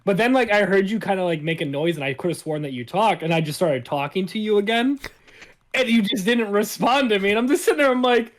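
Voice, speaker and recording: Loud high-voiced young man. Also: animated, quick pace. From Italian family background. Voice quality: loud, piercing voice. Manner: swearing storyteller, laughing. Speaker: podcast co-host. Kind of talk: casual chat.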